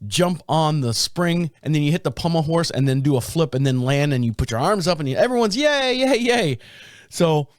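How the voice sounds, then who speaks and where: Slightly raspy man hosting a podcast. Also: no room sound, clean studio sound. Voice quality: deep. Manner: rambling, self-interrupting storyteller. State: slightly raspy, sore throat. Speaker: podcast host. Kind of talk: casual solo podcast talk. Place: small podcast studio. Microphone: studio microphone.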